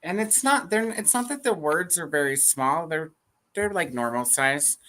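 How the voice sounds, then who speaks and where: A co-host chatting casually about books, who often restarts sentences and laughs softly. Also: mid-range voice, lively intonation, moderate pace. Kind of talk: casual chat.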